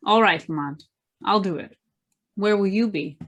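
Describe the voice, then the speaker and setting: A woman's voice: medium pitch, normal speed, lightly acted. A woman playing a crime fighter's loyal, concerned companion, earnest and questioning, in a radio drama. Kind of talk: old-time radio drama acting.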